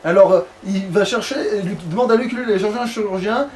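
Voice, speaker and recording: A man's voice: high voice, quick pace, darkish tone. A man casually chatting and muttering to himself with swearing while playing a video game as a let's play host. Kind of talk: casual gaming chat. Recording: clear background noise on a home recording.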